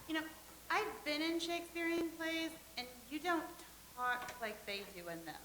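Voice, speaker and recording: An actress playing a dreamy young actress hoping to marry, earnest and lovestruck, in a staged play reading. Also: female voice, high-pitched, big pitch swings, moderate pace, sharp tone, reverberant, full character voice, poor audio.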